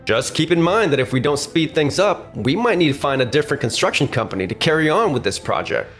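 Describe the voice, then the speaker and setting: Manga dub casting: male actor as cocky young salesman, slightly high voice, very lively swings, persuasive and pushy, faint music behind. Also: fast. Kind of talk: anime-style manga dub acting. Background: faint background music.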